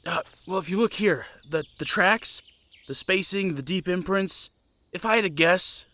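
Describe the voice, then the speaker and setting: A man's voice: high-pitched, moderate pace, sharp tone. A man playing an earnest, hesitant young knight recruit in an audio drama. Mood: hesitant, doubtful. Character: eager, self-doubting young male knight recruit.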